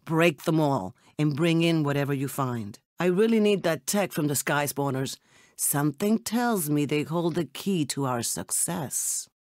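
An actress playing a poised, elegant secret agent in a video game, speaking with formal, composed politeness. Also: female voice, low voice, darkish tone, dry recording, studio quality. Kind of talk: scripted video game character dialogue.

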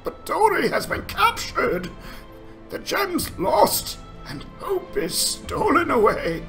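A man's voice: extremely high pitch, big pitch swings, slow, vocal fry, sharp tone, faint music behind, voice pushed up, full character voice. A man voicing an anxious, despairing dwarf longbeard from a fantasy game, with a plaintive, dramatic delivery.

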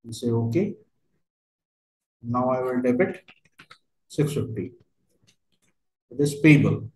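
Man, medium pitch, fragmented instructional delivery, software walkthrough, echoey recording. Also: slow pace. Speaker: software trainer. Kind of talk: step-by-step screen tutorial narration.

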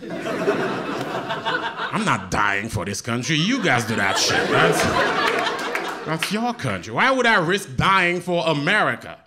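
Comedian, lively voice, loud crowd laughter. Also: male voice, fairly high pitch, takes it slowly, long pauses, heavy background noise. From Haiti. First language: Haitian Creole. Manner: dry, conversational delivery with sudden loud punchlines. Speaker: stand-up comedian. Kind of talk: stand-up comedy set. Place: small indoor comedy club with live audience. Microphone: handheld stage mic. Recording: live club recording with loud audience laughter. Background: audience laughing.

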